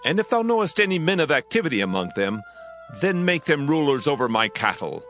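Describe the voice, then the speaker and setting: Guy doing a stately pharaoh giving orders, swingy mid voice, bible drama, soft music behind. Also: fairly high pitch, sing-song, fairly quick. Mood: regal. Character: regal male Pharaoh, authoritative ruler of Egypt. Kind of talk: dramatized scripture reading.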